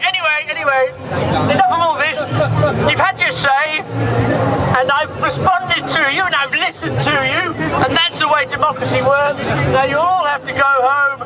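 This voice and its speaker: A man's voice: very high-pitched, fairly even intonation, normal speed. A man playing a sneering establishment figure who mocks and heckles protesters at an outdoor street protest. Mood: dismissive. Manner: taunting, mock-deaf heckling. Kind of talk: street theatre satire. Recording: loud crowd and traffic outdoors.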